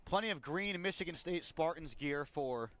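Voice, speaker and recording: High-voiced male baseball play-by-play announcer. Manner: measured, building to excited calls on big plays. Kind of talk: radio sports commentary. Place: outdoor ballpark broadcast.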